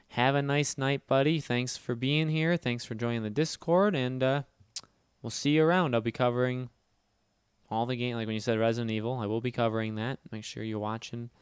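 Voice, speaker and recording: A man hosting a casual gaming livestream, talking fast and loud with a nasal voice and rambling as he answers chat. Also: medium pitch, smooth, dry recording. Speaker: livestream host.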